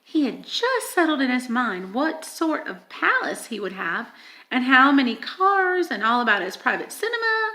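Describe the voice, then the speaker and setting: A woman reading a children's story aloud in an expressive, dramatic manner, with emphatic stresses and hushed moments. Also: sits high, moderate pace, low-quality recording. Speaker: audiobook reader.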